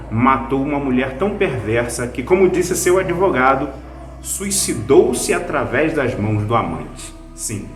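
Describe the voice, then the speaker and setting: A Brazilian man presents like a video host reviewing something he has prepared. His intonation is animated and swings freely at a medium pitch. The room adds a noticeable echo around his voice. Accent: Brazilian Portuguese. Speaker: video host recommending podcasts. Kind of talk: conversational scripted review.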